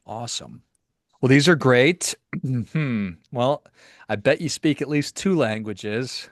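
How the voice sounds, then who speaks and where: A man's voice: mid-range voice, animated, slow pace, no echo, studio quality. A man hosting a podcast, chatting casually and asking playful, teasing questions. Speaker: podcast host. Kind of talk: casual interview chat.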